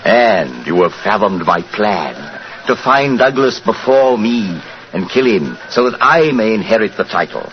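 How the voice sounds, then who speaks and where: Through a muffled, hissy old radio recording comes a sardonic gentleman villain. His lines swoop up and down with taunting, flippant theatricality. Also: lowish voice, very animated, a little slow. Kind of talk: 1940s radio drama acting.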